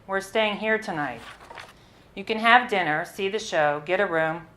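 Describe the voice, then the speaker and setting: A woman reading her own first-person story at a live literary event, in a measured, expressive way with the odd small stumble. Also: mid-range voice, rough recording.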